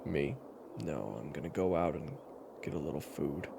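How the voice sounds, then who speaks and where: Very deep-voiced male storyteller with gravelly edge. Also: animated, moderate pace, noisy background. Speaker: narrator and storyteller. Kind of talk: dramatic roleplay storytelling.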